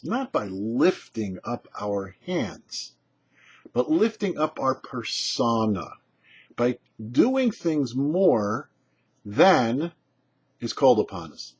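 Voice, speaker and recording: A man with a husky voice, giving a short scripted religious teaching as a rabbi in an emphatic, explanatory way. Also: mid-range voice, big pitch swings, slow. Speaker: rabbi and show host. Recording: dull, muffled lo-fi audio.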